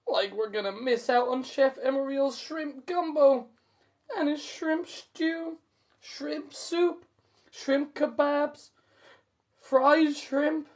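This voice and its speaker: A goofy young slacker, pitched way up high and whiny. He is cowardly and always hungry, drawling excitably in a storybook cartoon style. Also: takes it slowly. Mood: dreamy, wistful. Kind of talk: cartoon voice in live storybook reading.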